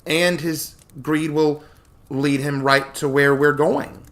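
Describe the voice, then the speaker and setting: A man's voice: fairly high pitch, level tone, slow pace, fairly bright tone, pitched above the performer's own voice. A man voicing a determined, level-headed heroine who reasons persuasively in a storybook reading. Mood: sly.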